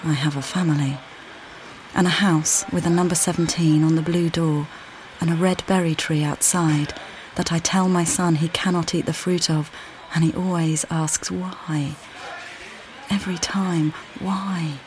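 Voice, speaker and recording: An actress playing a weary, displaced mother who calmly guides the listener in a walking play, speaking plainly and directly to them. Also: low voice, a little slow, slight background noise, low-quality recording. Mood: reflective. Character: displaced mother, weary and uncertain, far from home. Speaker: audio guide narrator. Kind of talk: immersive walking-play narration.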